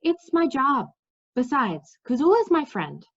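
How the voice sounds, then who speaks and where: A woman's voice: high voice, very animated, unhurried, pitched above the performer's own voice, full character voice. A woman voicing a practical, determined young woman from a storybook in a matter-of-fact manner. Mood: brisk, determined. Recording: slightly dull, lo-fi sound.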